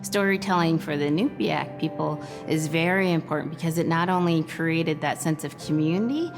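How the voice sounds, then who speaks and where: A woman's voice: deep voice, normal speed. A woman in a documentary interview, explaining in an earnest way. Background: music.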